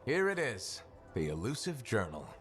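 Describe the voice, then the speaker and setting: A man's voice: mid-range voice, huge pitch swings, normal speed, fairly bright tone, slight background noise. A man playing a wry, determined mercenary pilot in a video game cutscene, with a dry delivery. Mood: wry, persuasive.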